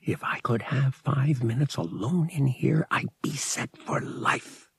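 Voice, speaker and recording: A cocky young pilot from an audiobook space adventure, performed by a man. He is wry and eager, talking in casual, clipped phrases. The voice is husky and a little gravelly. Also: mid-range voice, expressive, unhurried, no echo, clean studio sound, voice pushed up, heavily acted. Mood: wistful muttering. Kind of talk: audiobook character voice.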